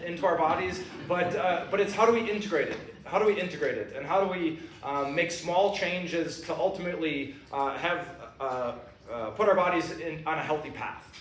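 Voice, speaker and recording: A man introduces a lecture at a country club, stumbling through fillers and restarts. His voice sits a touch high. He sounds distant in a reverberant room with faint background noise. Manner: halting, many fillers and restarts. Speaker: fitness and wellness director introducing a guest.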